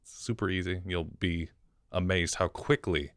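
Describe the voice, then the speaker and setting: A man's voice: low-pitched, steady tone, normal speed, fairly bright tone, no echo, studio quality. A man co-hosting a podcast, chatting casually with a guest and the listeners. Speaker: podcast co-host. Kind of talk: casual chat.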